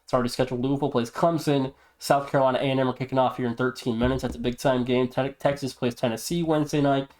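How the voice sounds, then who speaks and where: Male sports podcast host, medium pitch, emphatic delivery, casual news and commentary. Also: fairly even intonation, quick pace, hardly any pauses, darkish tone. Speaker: podcast host and league presenter.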